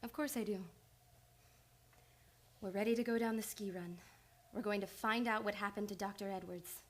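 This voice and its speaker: A woman's voice: mid-range voice, steady tone, normal speed, natural voice. A woman playing an earnest, insistent psychoanalyst in old time radio drama, urgent and probing. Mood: resolute.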